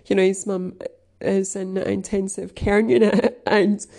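A woman chatting casually, sniffly from crying, halting with many fillers and frequent throat clearing. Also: medium pitch, flat delivery, mellow. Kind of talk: casual rambling chat.

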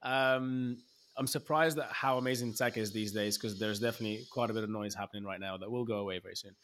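A man's voice: on the low side, steady tone, fast talker, a bit bright. A man from Egypt hosting a podcast interview over a video call, chatty and hesitant, with frequent restarts and fillers. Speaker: podcast host. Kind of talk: casual interview chat.

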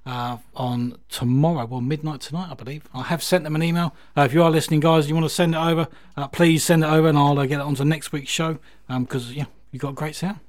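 A man presents a radio music show in a fairly high-set voice. He chats casually and enthusiastically, rambling freely as he introduces the tracks. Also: fairly even intonation, fast, few pauses.

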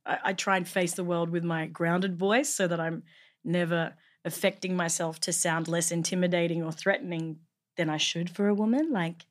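A woman's voice: on the low side, steady tone, normal speed. A young Australian woman with an Australian accent, a trained actor chatting casually and laughing often. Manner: laughs often, grounded and reflective. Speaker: actor and podcast co-host. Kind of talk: casual chat.

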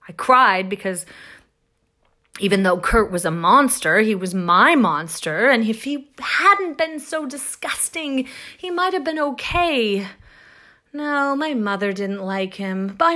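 An actress playing an exasperated, confiding woman in a comic first-person story monologue, with wry emphasis and dramatic pauses. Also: fairly high pitch, sharp tone, exaggerated voice. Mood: wistful, sad. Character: exasperated, wryly confiding woman plagued by monsters and heartbreak. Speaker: actress reading a short story.

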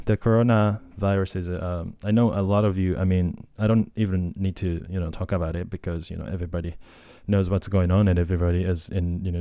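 Low-voiced Japanese man, casual ramble. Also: dark tone, no room sound, studio quality. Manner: rambling, hesitant, many fillers and restarts. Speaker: podcast host and language tutor. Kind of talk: casual solo talk.

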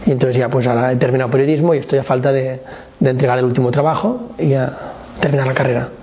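A man's voice: mid-range voice, fast, dull audio. A man in his twenties from Barcelona, telling his life story as an interview guest in a rambling way, with many restarts. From Barcelona, Spain. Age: 20s. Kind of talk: casual personal testimony.

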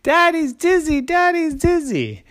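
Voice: very high voice, very animated, unhurried, fairly bright tone, pitched above the performer's own voice, full character voice. A storyteller voicing an eager, pleading little girl with a mischievous streak, in a bedtime story. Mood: gleeful. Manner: pleading, insistent.

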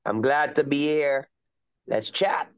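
A middle-aged man from Trinidad and Tobago chats easily as an interview guest. He wanders through his answers with plenty of ums and you-knows. His voice is smooth and resonant, though the recording sounds a little dull and lo-fi. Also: a little high, takes it slowly. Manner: easygoing, rambling with many fillers. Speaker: soccer coach, guest. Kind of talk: casual interview chat.

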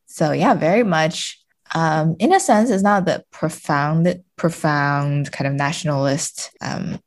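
Low-voiced woman, halting and explanatory. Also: gravelly, darkish tone. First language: Chinese. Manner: halting, explanatory, frequently rephrasing. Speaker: podcast guest. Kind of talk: casual literary discussion.

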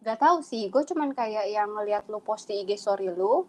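A young woman hosting a casual podcast chat, relaxed and teasing, mixing English words into her talk. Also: medium pitch, normal speed. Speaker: podcast host.

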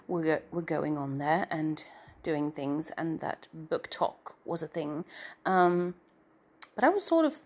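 Woman, middle-aged, nasal and congested, low-pitched, slow, rambling casual vlog talk. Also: a little mellow. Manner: meandering and self-deprecating, trailing off into filler. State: stuffy-nosed. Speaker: writer and story narrator. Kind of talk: rambling casual personal update.

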